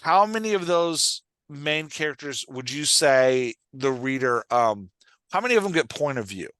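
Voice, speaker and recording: A man's voice: mid-range voice, animated, moderate pace, fairly bright tone, clear, resonant tone, no room sound. A man hosting and coaching in a conversational, explanatory way, often checking that the listener follows. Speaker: podcast host and writing coach.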